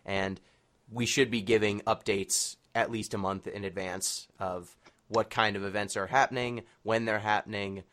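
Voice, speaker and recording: A man hosts a casual interview podcast in a fairly low voice. He moves between questions for his guests and informal announcements for listeners. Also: steady tone, normal speed, fairly bright tone.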